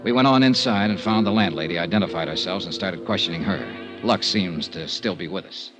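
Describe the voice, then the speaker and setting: A man's voice: deep voice, fairly quick, over music, close to an everyday voice, rough recording. An American actor playing a wry, cool private investigator in a vintage radio detective drama, narrating his case in a dry, clipped hardboiled way. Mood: matter-of-fact narration. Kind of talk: 1940s-50s radio detective acting.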